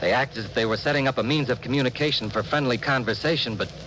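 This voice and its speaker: An actor playing a thoughtful, cautious ship's psychologist, hesitant and considered, in a 1950s radio drama. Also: male voice, medium pitch, quick pace, close to an everyday voice. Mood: cautious, uneasy. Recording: noisy old 1950s radio broadcast.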